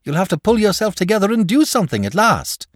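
An excitable young village boy from a storybook, put on by a man reading aloud. He pleads breathlessly in a high, swooping voice. The sound is dry and close. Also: fast, no echo. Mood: excited.

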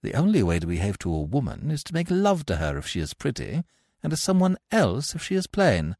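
A man playing a witty, idle young gentleman who speaks in a wry, languid manner. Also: mid-range voice, wildly swooping pitch, a little fast, dry recording, studio quality.

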